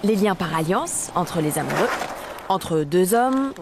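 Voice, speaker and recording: Cheery cartoon dinosaur teacher, noisy soundtrack. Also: female voice, on the low side, animated, measured pace. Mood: patient, explaining. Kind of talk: children's educational cartoon explanation.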